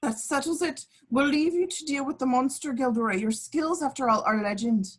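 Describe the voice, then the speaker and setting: A woman's voice: sits high, fairly quick, husky. A woman playing a stern teacher at a wizard school in an amateur script read.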